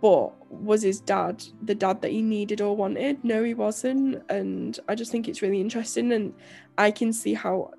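A young woman co-hosting a podcast, croaky and nasal from illness, rambling casually with lots of fillers and restarts. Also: mid-range voice, steady tone, moderate pace, mellow, faint music behind. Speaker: podcast co-host and author. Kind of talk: casual chat.

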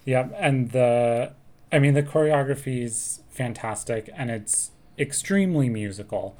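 A man's voice: medium pitch, a little slow, dark tone, a little hiss or hum. A man co-hosting a podcast, talking through song lyrics in a thoughtful, analytical way and quoting lines with relish. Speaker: podcast co-host. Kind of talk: casual chat.

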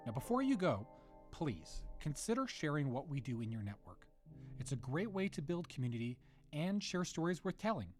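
A man's voice: on the high side, big pitch swings, normal speed. A man hosting an audio drama production, giving a friendly scripted outro with thanks and credits. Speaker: producer and show host. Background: guitar music.

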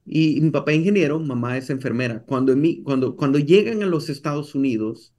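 A man's voice: a little high, fairly quick, mellow. A man with a Salvadoran accent telling his story as a podcast guest, repeating phrases and adding tag questions. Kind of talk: conversational personal storytelling.

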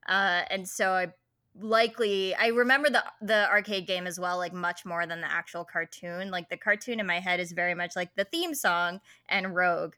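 A woman's voice: fairly low pitch, steady tone, normal speed, sharp tone, dry recording, clean studio sound. A woman on a podcast, recalling childhood memories in a hesitant, rambling way. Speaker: podcast guest. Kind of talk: casual podcast chat.

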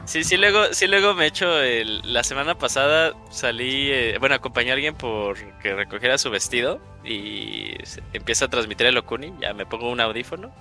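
A man's voice: mid-range voice, lively intonation, a little fast, hardly any pauses, bright, forceful tone, faint music behind. A man with a Mexican accent co-hosting a casual podcast, chatty, repeating words as he makes his point. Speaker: podcast co-host. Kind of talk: casual group chat.

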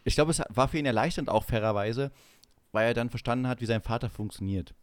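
A man's voice: medium pitch, quick pace, no room sound. A man from East Germany chatting casually and jokingly as a podcast host, often searching for words. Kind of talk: casual comic chat.

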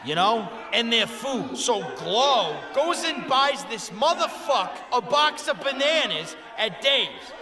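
An actor playing a crude, boastful uncle in a comic audio drama, bombastic and rambling. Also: male voice, very high voice, big pitch swings, takes it slowly, hardly any pauses, over music, a crowd in the background, heavily acted. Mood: rambling, defensive. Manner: foul-mouthed, bombastic, rambling. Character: crude, boastful, smelly uncle in theater troupe. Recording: echoey hall with audience noise.

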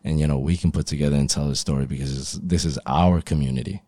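A man's voice: extremely low pitch, fairly even intonation, fast talker, warm tone, no echo, clean studio sound. A man in casual, slangy conversation, speaking earnestly and emphatically with frequent "you know" asides.

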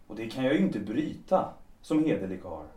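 A young actor voicing Erland, an evasive young lover in an audio drama who excuses himself and then begs. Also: male voice, lowish voice, big pitch swings, warm tone. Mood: explaining. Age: young adult. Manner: evasive, self-excusing, bursts of pleading. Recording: roomy echo, off-mic distance.

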